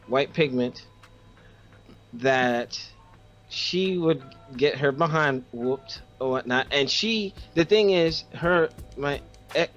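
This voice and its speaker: A man talks casually as a podcast co-host, at a middling pitch. He tells personal stories in a halting way, doubling back on words to stress them. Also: fairly bright tone, dull audio. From Haiti.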